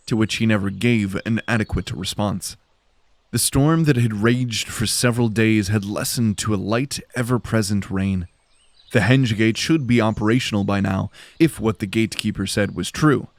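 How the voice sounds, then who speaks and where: Low-voiced male fantasy narrator, very dry sound. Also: no room sound. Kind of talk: scripted dramatic fantasy narration.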